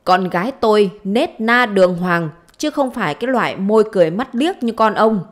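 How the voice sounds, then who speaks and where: A woman's voice: mid-range voice, expressive, moderate pace, lightly acted. A woman voicing a spiteful, foul-mouthed middle-aged village wife in a story, scolding, wailing and taunting.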